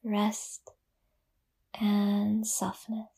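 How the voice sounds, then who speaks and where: Slow, breathy woman guiding meditation. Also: mid-range voice, completely flat delivery. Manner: soft, half-whispered, gentle and unhurried with long pauses. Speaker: meditation guide. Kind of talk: guided yoga nidra meditation.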